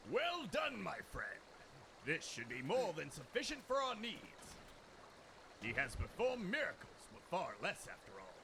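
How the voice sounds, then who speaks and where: A man voicing a pompous, boastful gentleman inspector in a video game, with grandiloquent, declamatory delivery. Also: sits high, wildly swooping pitch, unhurried, noisy background, exaggerated voice. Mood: pleased, appraising. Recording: loud music behind. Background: game music.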